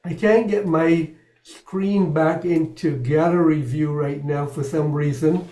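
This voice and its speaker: A man moderates a talk over a video call in an easy, chatty way. His voice sits a bit high but sounds mellow. The room has an echo. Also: takes it slowly. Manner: relaxed and chatty, laughs lightly.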